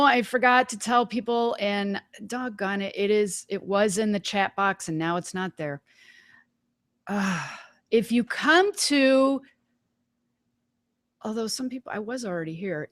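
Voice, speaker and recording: A woman hosting a webinar, giving a casual live software demonstration in an informal, folksy way, thinking aloud. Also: medium pitch, no room sound, studio quality. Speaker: webinar host.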